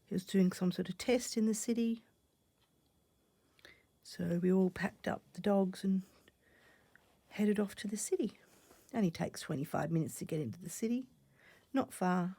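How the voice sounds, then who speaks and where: A woman recovering from a cough, chatting casually while she stitches, often dropping to soft half-whispers as she thinks aloud. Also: mid-range voice, moderate pace, creaky, long pauses, slightly rough, no room sound. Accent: Australian. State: croaky from a cough. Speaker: stitching channel host. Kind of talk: casual crafting vlog chat.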